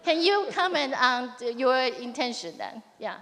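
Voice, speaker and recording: High-voiced woman moderating a stage panel. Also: animated, sharp tone, a little hiss or hum. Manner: halting, many fillers and restarts. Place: large hall on stage. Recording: reverberant hall, faint music.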